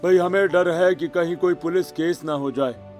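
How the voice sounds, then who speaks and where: A man's voice: a little high, steady tone, moderate pace, darkish tone, faint music behind, lightly acted. A man voicing a stern middle-aged father who frets about society in a cartoon story. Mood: worried. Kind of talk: Hindi cartoon story dialogue acting.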